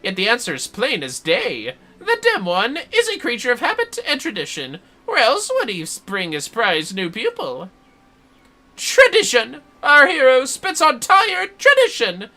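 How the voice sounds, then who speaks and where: A flamboyant shinobi villain from a game, acted out by a woman, taunts with grand theatrical relish. His voice rides high and swings widely in pitch, with a bright, forceful edge. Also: wildly swooping pitch, measured pace, exaggerated voice. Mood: mocking. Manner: grandiose, taunting, talks in third person. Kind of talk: theatrical game dialogue read aloud.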